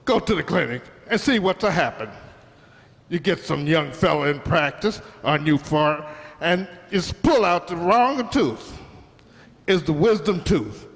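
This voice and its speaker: A man's voice: fairly high pitch, lively intonation, a little slow, gravelly, in a large hall. A man playing a pushy, scheming Italian immigrant restaurant owner in an old-time radio comedy, exclaiming and wheedling in broken English with an Italian accent. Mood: wheedling, blustering.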